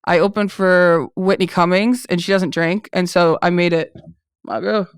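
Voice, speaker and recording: A woman's voice: fairly low pitch, steady tone, moderate pace, clear, resonant tone, dry recording, clean studio sound. A woman with a Boston accent hosting a podcast, talking bluntly, swearing freely and laughing often. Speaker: podcast host. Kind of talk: casual podcast chat.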